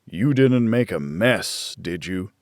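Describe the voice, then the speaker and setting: A man's voice: sits low, lively intonation, takes it slowly, dry recording, studio quality, full character voice. A man voicing a blunt, needling, worried older friend in a noir audiobook.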